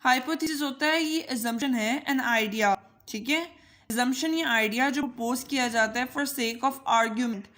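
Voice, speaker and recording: Female online tutor, Pakistani accent, fairly high voice processed by a voice changer, playful informal explainer. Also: a bit bright. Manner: playful, code-switching, conversational. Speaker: teacher and channel host.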